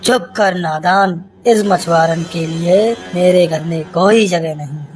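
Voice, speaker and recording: A woman's voice: fairly low pitch, unhurried, lightly acted. A woman voicing a stern, haughty elder-brother landlord in a cartoon story, scolding. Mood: angry, scornful.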